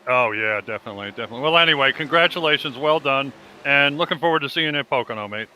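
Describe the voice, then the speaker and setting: A man's voice: medium pitch, fast, bright, forceful tone, a little hiss or hum, poor audio. An American man co-commentating on sim racing, chatting in a folksy, complimentary way over online voice chat. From United States. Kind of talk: casual chat.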